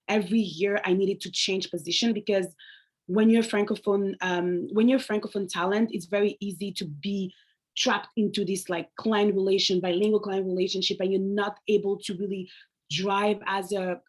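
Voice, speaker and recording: Francophone woman on a video-call panel, mid-pitched, straight-talking advice. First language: French. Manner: direct, advice-giving, some slips. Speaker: alumni panelist. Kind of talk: online panel talk.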